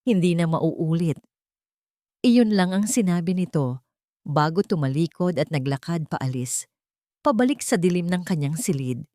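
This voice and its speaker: Low-pitched woman narrating a dramatic story. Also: animated, normal speed, mellow, no room sound, studio quality.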